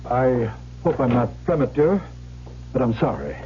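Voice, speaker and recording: A man's voice: medium pitch, lively intonation, measured pace, background noise, close to an everyday voice. An actor playing a blunt, fatherly middle-aged family lawyer in a radio drama. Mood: sympathetic. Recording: dull, humming old radio recording.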